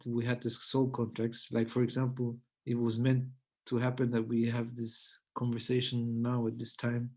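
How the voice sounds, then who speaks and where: A man born in Peru and living in Switzerland, hosting a casual podcast chat with a husky voice, often searching for words. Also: mid-range voice, completely flat delivery, normal speed, few pauses, a little mellow. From Peru-born, lives in Switzerland. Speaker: podcast host. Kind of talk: casual spiritual conversation.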